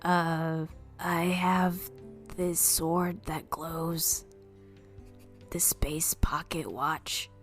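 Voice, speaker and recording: A woman talks casually as a player in a tabletop game. She speaks slowly in a slightly low voice, with faint music behind her. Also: steady tone. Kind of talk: casual, unhurried game chat.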